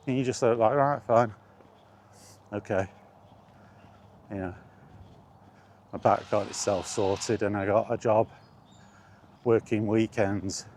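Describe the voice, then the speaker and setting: A man's voice: on the low side, darkish tone. A middle-aged British man casually rambling through personal memories, as in an informal vlog. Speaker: vlogger.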